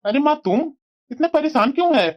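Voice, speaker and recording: A performer voicing a devoted adult son and stern husband in a family cartoon, with plain, drawn-out delivery. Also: high-pitched, expressive, dull audio, soft background music. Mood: affectionate, casual. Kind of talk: Hindi family moral-story cartoon voice acting.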